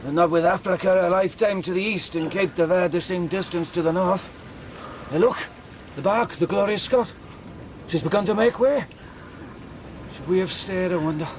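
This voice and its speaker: A male actor plays a grave, decent castaway in a radio drama. His high voice gives earnest orders over clear boat and water noise. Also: moderate pace, long pauses, natural voice. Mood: wistful. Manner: earnest, commanding. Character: humane, grave male convict taking charge of a lifeboat. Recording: noisy sea and boat effects.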